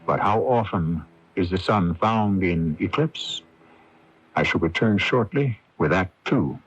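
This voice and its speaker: A man hosting a radio mystery series, narrating in a measured, portentous and grandiloquent dramatic style. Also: sits low, expressive, breathy edge, heavily acted. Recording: dull 1980s AM radio tape, music.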